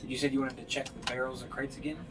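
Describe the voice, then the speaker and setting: Noisy recording of a halting male game master. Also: medium pitch, little pitch movement. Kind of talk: improvised narration of a tabletop fantasy game.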